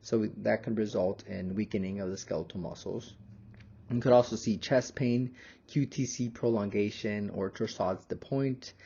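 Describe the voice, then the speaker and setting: A young man, a pharmacy student, narrating a slide lecture in a measured, explanatory manner. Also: fairly low pitch, gravelly, warm tone, dull audio, slight background noise.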